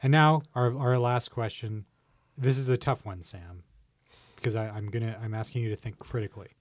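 A man's voice: medium pitch, steady tone, moderate pace, no echo, clean studio sound. A man hosting a casual podcast, asking questions with teasing, jokey banter. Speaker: podcast host.

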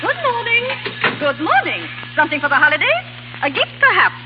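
An actress playing a bitter, scornful old shopkeeping aunt in a 1930s radio play, sharp-tongued and theatrical. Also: female voice, extremely high pitch, huge pitch swings, brisk, loud music behind the voice, heavily acted. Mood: sales patter. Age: older. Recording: dull 1930s radio, faint hiss.

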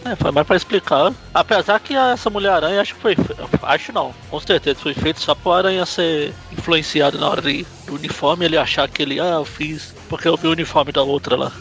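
A man's voice: a little high, a little fast, creaky, few pauses, fairly bright tone, faint music behind, rough recording. A Brazilian man co-hosting a podcast, adding playful jokes and asides. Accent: Brazilian Portuguese. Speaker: podcast co-host. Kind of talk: casual comic review chat.

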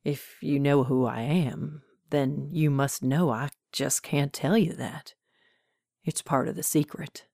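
A woman playing a polite, mysterious young man, softly and unhurriedly in a told story. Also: low voice, steady tone, vocal fry, no room sound, studio quality, heavily acted. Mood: mild, evasive. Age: young adult. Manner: soft-spoken, polite, unhurried.

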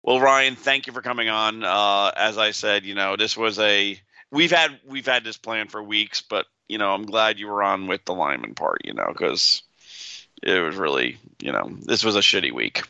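A man co-hosting a casual podcast, loud and quick to laugh, cracking crude jokes. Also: lowish voice, expressive, gravelly, no room sound, dull audio. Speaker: podcast co-host.

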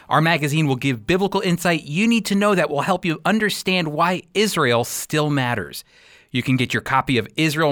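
A man's voice: fairly high pitch, fairly bright tone, no room sound, studio quality. A man hosting a radio teaching program, presenting and interviewing.

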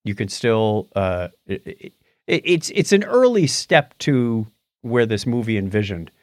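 A man co-hosting a podcast in casual chat, sometimes halting as he searches for words. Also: lowish voice, very animated, dry recording, clean studio sound. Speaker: podcast co-host. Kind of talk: casual chat about movies and tech.

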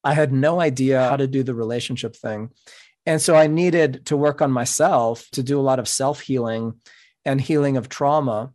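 A middle-aged man whose first language is Spanish, speaking as a podcast guest in an earnest, measured, explanatory way. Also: medium pitch, a little mellow. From New York City. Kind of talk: reflective interview talk.

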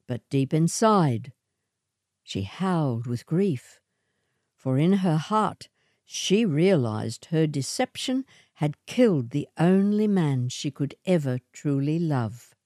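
Slow, low-voiced woman storyteller. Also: lively intonation, darkish tone, dry recording, studio quality. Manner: deliberate, theatrical delivery. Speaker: short story reader. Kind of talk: dramatic scripted storytelling.

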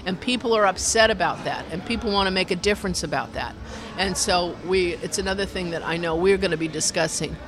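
Animated, rambling community radio organizer. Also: medium pitch, brisk. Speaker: community radio station organizer being interviewed. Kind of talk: casual spoken interview. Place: inside a brewery restaurant.

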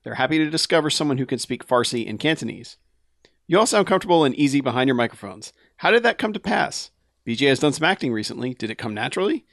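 A laid-back man hosting a podcast, reading a listener letter at a slow, careful pace. His voice sits in an ordinary middle register. The mic is close and dry, with no room sound. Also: mid-range voice, gravelly, no echo. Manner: relaxed, laughs often, slows down when reading emails. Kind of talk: casual podcast chat.

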